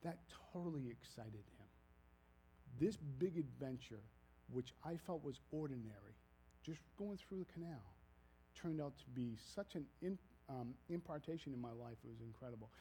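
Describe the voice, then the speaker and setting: A man in his fifties with a Maine accent preaches in a loose, storytelling way, circling back and restarting his sentences. His voice sits a little high yet sounds mellow and warm. He pokes fun at himself as he goes. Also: sing-song, moderate pace, low-quality recording. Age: 50s. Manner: rambling, folksy, self-deprecating, frequent restarts. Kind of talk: casual sermon storytelling.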